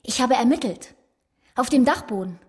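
A high, husky little girl's voice in a radio crime drama. She is a precocious amateur sleuth who talks about grim things in a knowing, matter-of-fact way. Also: lively intonation, normal speed, a little mellow. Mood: matter-of-fact, morbid. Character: precocious morbid little girl playing detective.

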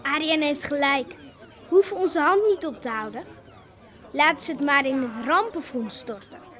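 A woman's voice: sits high, normal speed, lightly acted. An actress playing a proud, hopeful young village girl in a radio drama, direct and spirited in manner. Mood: curious, spirited. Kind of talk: Dutch radio drama acting.

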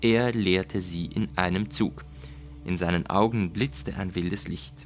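Male audiobook narrator: low voice, measured and deliberate literary storytelling, music underneath. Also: slight background noise.

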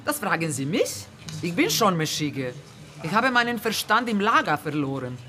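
A woman's voice: on the low side, big pitch swings, normal speed, bright, forceful tone, soft background music. An actress playing a desperate, impatient woman who survived the camps, insistent and aggrieved, in a German radio play. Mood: exasperated. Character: desperate, impatient female camp survivor waiting in a queue. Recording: studio radio play with clear background noise.

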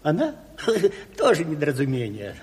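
An actor playing a weaselly, swaggering bathhouse commissar in a comic radio drama, whiny, indignant and full of pompous slogans. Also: male voice, fairly high pitch, huge pitch swings, moderate pace, a little mellow. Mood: oily, pompous. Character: weaselly, swaggering bathhouse commissar, self-righteous deserting husband. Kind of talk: comic stage-style radio drama acting. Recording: hall reverb of 1970s radio play.

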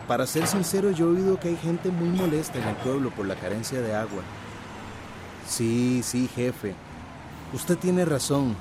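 A man's voice: medium pitch, background noise, close to an everyday voice. An actor playing a smug, deferential construction manager in a radio drama, reassuring his boss. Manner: reassuring, obsequious.

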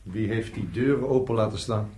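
An actor playing a gruff, terse, nosy man in a radio drama, speaking in curt, blunt phrases. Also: fairly low pitch, moderate pace, a little mellow, close to an everyday voice. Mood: gruff, demanding.

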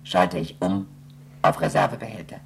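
A man playing a slow, emotionless space station computer in a radio play, reciting formal announcements in a deliberate monotone. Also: deep voice, gravelly, slight background noise. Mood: impassive, formal.

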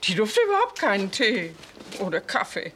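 A woman's voice: mid-range voice, big pitch swings, moderate pace, fairly bright tone, slight background noise. An actress playing a fussy, tactless mother in a film comedy, prim, rambling and full of insinuation. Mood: prim concern.

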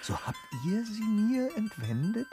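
Earnest, high-voiced gentleman. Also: sing-song, unhurried, over music, full character voice. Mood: outraged. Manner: earnest, formal, emotional. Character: upright gentleman traveler, hasty but remorseful master. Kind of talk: German radio play acting of a classic comedy.

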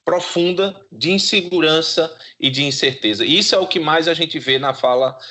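A man talks as an academic guest in an interview. His voice is fairly high and quite bright, and he explains things in a didactic way. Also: moderate pace. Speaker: guest expert, psychologist and professor.

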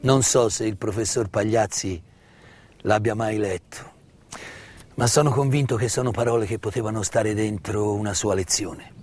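A man delivers a solemn theatrical monologue, with emphatic, rhetorical phrasing and deliberate pauses. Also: fairly low pitch, a little mellow, a little hiss or hum. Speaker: stage actor performing his own monologue. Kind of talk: dramatic theatrical monologue, solemn commemorative storytelling. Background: live musical accompaniment.

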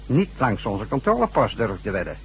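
An actor playing a ruthless, coolly mocking chief of a secret organisation in a Dutch radio drama, husky and commanding. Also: male voice, medium pitch, wildly swooping pitch, brisk, a little hiss or hum, poor audio. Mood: coldly mocking.

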